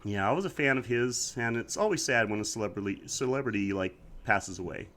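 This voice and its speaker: A man's voice: fairly low pitch, brisk. A man co-hosting a casual podcast, talking at length with a congested, slightly scratchy voice. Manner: relaxed, talkative, reviews with prepared facts. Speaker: podcast co-host.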